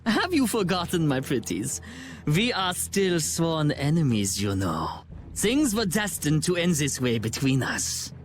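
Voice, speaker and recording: Flamboyant villain, swooping theatrical delivery. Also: male voice, fairly high pitch, big pitch swings, a little slow. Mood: gleeful menace. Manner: grandiose, theatrical, mocking delivery. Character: flamboyant, gleeful male villain, theatrical sworn enemy. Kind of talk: dramatic video game voice acting. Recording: loud music under the dialogue. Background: game music.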